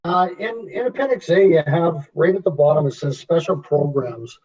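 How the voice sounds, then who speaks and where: A man on a committee asking a question in an online meeting, halting with "uh" fillers. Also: on the high side, expressive, a little mellow, husky, muffled recording.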